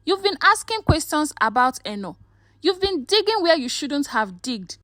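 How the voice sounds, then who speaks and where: A woman's voice: sits high, very animated, normal speed, sharp tone, full character voice. A woman storyteller playing a hard, intimidating uncle who speaks in a stern, accusing manner. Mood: accusing, threatening.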